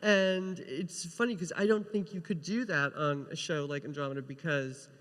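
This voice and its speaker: A man's voice: a little high, steady tone, normal speed, fairly bright tone. A man chatting casually on a panel as a TV writer, often rephrasing mid-sentence. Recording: reverberant hall.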